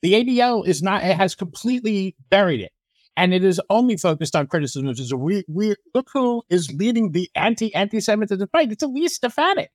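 A man's voice: high-pitched, lively intonation, fairly quick, no room sound, studio quality. A man, a writer and analyst, speaking earnestly and emphatically as an interview guest. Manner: earnest, emphatic, occasional restarts. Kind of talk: interview, opinionated commentary.

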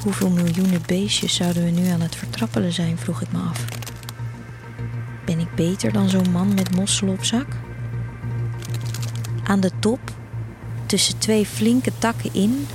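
A woman's voice: on the low side, fairly even intonation, a little slow, long pauses. A woman reading a literary first-person story as the narrator of an audio drama. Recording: music behind the voice. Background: music.

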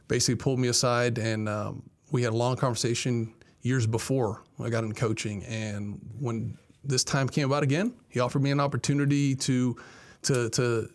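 A man in his forties from Miami speaks at a medium pitch. He is a college athletics director answering interview questions in a conversational, storytelling style. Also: fairly even intonation, normal speed. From Miami, Florida, United States. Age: 40s.

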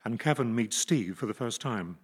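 A man playing a formal, deadpan radio announcer narrating scripted comedy. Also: mid-range voice, moderate pace, slightly rough.

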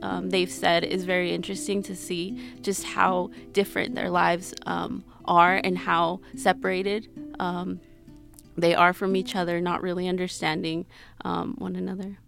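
A woman's voice: lowish voice, level tone, unhurried, creaky, fairly bright tone, soft background music. A woman in her twenties with an American accent, telling her personal story in an interview in a reflective, explanatory manner. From Mexico-born, grew up in Utah, United States. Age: 20s. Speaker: interview guest.

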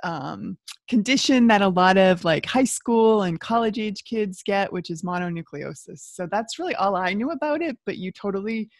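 A woman's voice: mid-range voice, expressive, normal speed, no room sound. Woman, podcast host, enthusiastic, chatty, dry close sound. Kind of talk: podcast interview.

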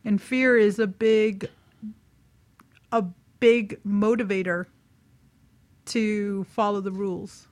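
A woman's voice: medium pitch, steady tone, slow pace, pauses often, darkish tone, dry recording. A woman speaking earnestly and emphatically in a casual radio discussion, repeating phrases for emphasis.

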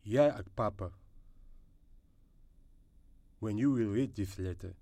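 A man's voice: on the low side, normal speed, dark tone, slight background noise, lightly acted. A man playing an earnest, apologetic young son in an audio drama voice-over. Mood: apologetic, solemn. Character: earnest, apologetic young son reading out his farewell letter.

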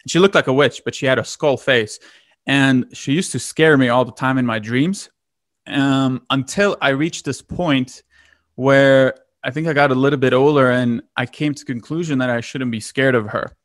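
A man from Lithuania in his late twenties talks through a long personal story in a loose, rambling way, full of fillers and restarts. His voice is mid-pitched. The sound is dry and close. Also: normal speed, no echo. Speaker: guest. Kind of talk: casual conversation.

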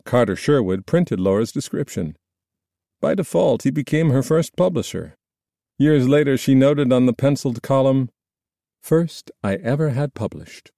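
A man reading scripted nonfiction narration in a measured, formal storytelling manner. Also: mid-range voice, warm tone, dry recording, studio quality. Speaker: audiobook narrator.

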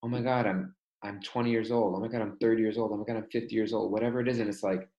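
Low-voiced man rambling in an echoey room. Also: very fast, dull audio. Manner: animated self-questioning, many likes and restarts. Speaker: group member. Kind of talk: casual video-call chat.

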